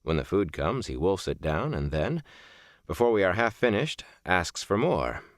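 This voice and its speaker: Low-voiced man narrating an audiobook, expressive pitch. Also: normal speed, no echo, clean studio sound. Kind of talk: scripted audiobook narration of a reflective first-person memoir novel.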